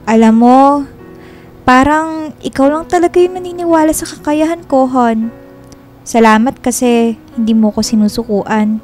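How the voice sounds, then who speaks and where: A woman playing a sweet, playful young girlfriend in a radio romance drama, with a Filipino accent and a coy, affectionate, expressive delivery. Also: high voice, faint music behind, lightly acted. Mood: tender, grateful. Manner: coy, expressive, drawn-out endearments.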